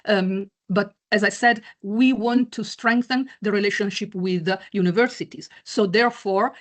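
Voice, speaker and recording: A woman chairs an online meeting and answers questions over a video call. She speaks slowly and hesitantly, filling the gaps with many pauses and restarts. Her voice sits at an ordinary pitch. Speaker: chair of a museum committee.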